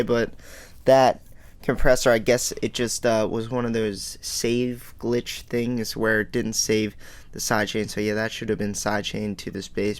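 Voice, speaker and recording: Rambling self-deprecating young producer guy. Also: fairly low pitch. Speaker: music producer teaching. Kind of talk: casual tutorial walkthrough. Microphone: studio microphone.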